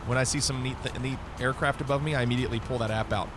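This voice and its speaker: A man's voice: mid-range voice, fairly even intonation, racing, noisy background. A man streaming a flight simulator live, chatting casually and answering viewer comments between radio calls.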